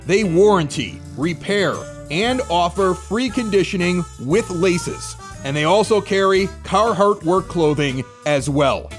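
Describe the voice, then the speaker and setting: A middle-aged man hosting a sports podcast, talking casually to listeners and guests. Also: sits high. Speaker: podcast host. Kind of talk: casual sports talk and scripted ad read.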